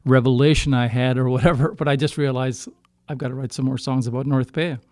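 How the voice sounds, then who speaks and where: Clear-voiced middle-aged Canadian man, halting storyteller. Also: medium pitch, fairly even intonation, darkish tone, dry recording, studio quality. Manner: halting, circling back to rephrase. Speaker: singer-songwriter guest. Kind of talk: casual storytelling in a podcast interview.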